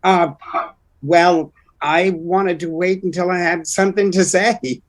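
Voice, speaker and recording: A man playing a gentle, wistful elderly retired masked hero in a fan-made drama, halting and diffident. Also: sits high, fairly even intonation. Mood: shy.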